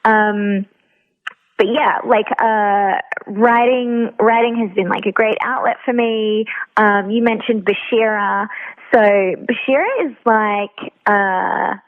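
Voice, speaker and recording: A woman speaks over a narrow, tinny phone line as an interview guest. She chats informally, sprinkling in "like" often, at a middling pitch. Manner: informal, filler-heavy with frequent "like". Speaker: guest, actor and writer. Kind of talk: casual interview chat. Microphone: phone call.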